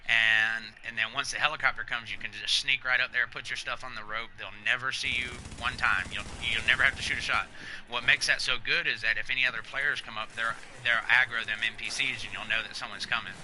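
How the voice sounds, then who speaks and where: A man's voice: mid-range voice, fairly even intonation, brisk, sharp tone, slight background noise, poor audio. A man in his thirties casually explaining a game while playing live, loose and chatty, swearing now and then. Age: 30s. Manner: loose, chatty, swears freely and laughs at himself. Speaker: game streamer. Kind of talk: casual live gameplay commentary.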